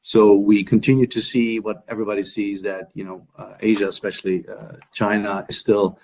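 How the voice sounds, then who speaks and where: Low-pitched, very halting male executive. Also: level tone, vocal fry, a little mellow, a little hiss or hum, poor audio. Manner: very halting, broken phrases, repeated words. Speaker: company executive. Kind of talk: earnings call answers.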